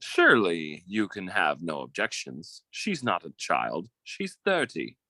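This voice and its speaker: A man playing a smooth-talking, scheming suitor in an old-time radio melodrama, with a suave, theatrical and formal manner. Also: deep voice, wildly swooping pitch, measured pace, bright, forceful tone, no echo, exaggerated voice. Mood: calculating.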